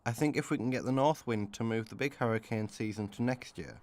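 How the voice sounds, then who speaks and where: A man's voice: mid-range voice, fairly quick, a little mellow, lightly acted. Man playing a sarcastic summer spirit amid buzzing. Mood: defensive. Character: Summer, cheeky laid-back sarcastic male season spirit. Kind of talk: amateur radio drama. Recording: clear noise, buzzing insects.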